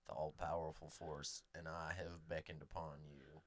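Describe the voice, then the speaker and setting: A man co-hosting a comedy podcast, rambling through absurd improvised bits in a deadpan way. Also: a bass voice, moderate pace, dry recording. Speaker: podcast co-host.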